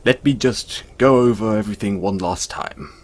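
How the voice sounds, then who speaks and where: A man playing a gentle, caring, self-conscious centaur in an intimate audio roleplay, speaking in a soothing, hesitant, apologetic way. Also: on the low side, moderate pace, close to an everyday voice. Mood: caring, soft-spoken.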